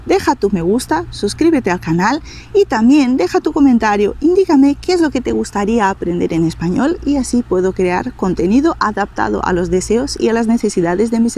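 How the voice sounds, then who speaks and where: Woman, Spanish teacher, higher voice, lively melodic intonation, careful enunciation. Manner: clear, careful enunciation, slowing down for example phrases. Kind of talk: language lesson for beginners.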